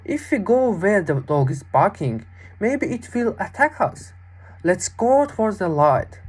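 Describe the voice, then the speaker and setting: A woman's voice: mid-range voice, sing-song, unhurried, darkish tone, husky, voice pushed up. Woman playing a tiny, clever fairy-tale boy. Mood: reasoning.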